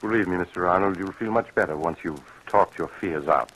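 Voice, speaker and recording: An actor playing a grave, kindly doctor who tells eerie tales in a measured, formal, ominous way, in 1940s radio drama style. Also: male voice, low voice, fairly bright tone. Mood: calm concern. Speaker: narrator and doctor. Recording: noisy vintage radio recording.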